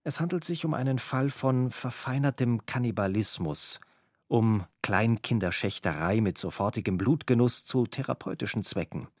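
A man's voice: medium pitch, animated, normal speed, no room sound, clean studio sound. A man reading a written press report aloud from a script. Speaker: reader of a press article. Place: dry studio.

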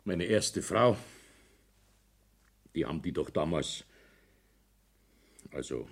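A husky voice with swinging intonation: an older Bavarian businessman in a radio play. He is calculating and insistent, a father pressing his case. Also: extremely low pitch, animated, slow pace, full character voice. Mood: insistent, defensive. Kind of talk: naturalistic radio drama acting.

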